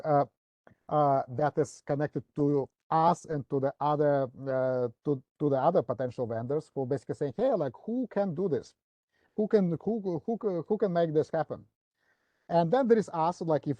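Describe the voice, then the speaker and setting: A man's voice: mid-range voice, warm tone, dry recording, highs cut off. A man speaking in a webinar, explaining a work process with many fillers and restarts. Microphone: video call.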